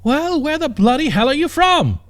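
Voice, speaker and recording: A man's voice: very high-pitched, big pitch swings, normal speed, fairly bright tone, pitched above the performer's own voice, heavily acted. A man voicing a young princess in an audiobook, haughty and easily annoyed, speaking in prim, formal phrases. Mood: suspicious, exasperated.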